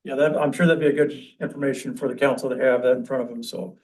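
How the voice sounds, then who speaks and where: A man's voice: mid-range voice, steady tone, fast talker, darkish tone, low-quality recording. Male, mid-range voice, informal meeting leader in a council room. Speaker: committee member leading the meeting. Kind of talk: informal public committee meeting discussion.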